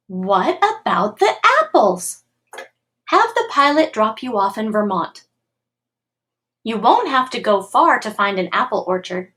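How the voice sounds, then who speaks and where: A woman reads a children's picture book aloud in the manner of a school storytime. Her voice sits a little high and moves in animated swings of pitch. She tells it expressively, with clear pacing meant for young listeners. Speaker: school computer tech reading a storybook aloud to pupils.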